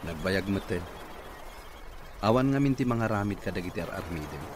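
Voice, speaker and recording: A man's voice: sits low, animated, normal speed. A man playing a stern, curt office boss, with an Ilocano accent, in radio drama acting. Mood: curt, grudging. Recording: noisy radio drama recording.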